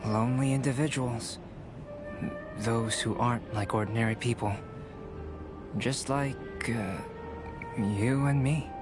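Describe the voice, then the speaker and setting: A man voicing a calm, aloof young male alchemist in a video game, with a measured, formal delivery. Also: mid-range voice, music in the background, lightly acted. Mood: reflective. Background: game soundtrack.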